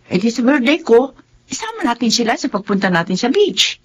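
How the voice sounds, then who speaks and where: Sweet, animated young girlfriend. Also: a little high, brisk, breathy edge. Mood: scheming, sweet. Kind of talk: Tagalog radio drama acting.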